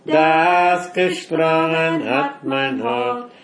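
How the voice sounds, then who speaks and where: A person singing devotional verse as a hymn in a solemn, prayerful, drawn-out manner. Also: mid-range voice, expressive, creaky, reverberant, soft background music.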